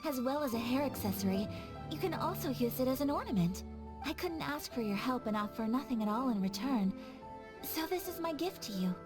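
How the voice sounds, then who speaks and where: A gentle young noblewoman speaks with formal courtesy and thoughtful, measured phrasing. Her voice is fairly high, in anime game style, with clear background music. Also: animated. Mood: grateful, gentle.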